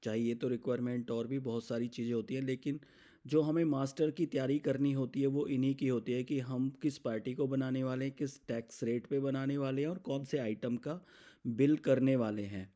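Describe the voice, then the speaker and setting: An Indian man presenting a step-by-step software demo in an explanatory, instructional manner, mixing in English terms. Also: mid-range voice, steady tone, a little fast.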